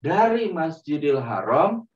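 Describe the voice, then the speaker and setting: A man telling a story to children in a gentle, drawn-out way, often turning to them as friends. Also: on the high side, very animated. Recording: roomy, distant, dull sound.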